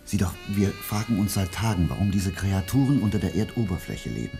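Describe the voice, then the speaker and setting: A deep male voice with lively, swinging intonation, playing a driven scientist in a radio drama. He sounds earnest and intense, weighing his phrases dramatically. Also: brisk, gravelly, music in the background. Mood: grave, intense. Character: earnest, driven male scientific investigator uncovering a dark secret. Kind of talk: German radio play acting.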